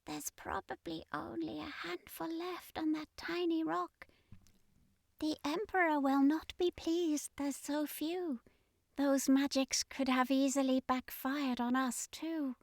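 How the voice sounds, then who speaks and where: A woman's voice: sits high, little pitch movement, takes it slowly, creaky, dry recording, pitched above the performer's own voice, exaggerated voice. A woman voicing a resentful alien underling in a science fiction story, grumbling and fretful. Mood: grumbling worry.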